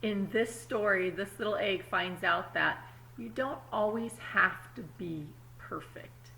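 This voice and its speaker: Bright-voiced woman reading to children. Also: medium pitch, unhurried, vocal fry, slight background noise, poor audio. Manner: instructive, addresses children directly, checking in with questions. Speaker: teacher reading aloud to children. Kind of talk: picture-book read-aloud with asides to young children.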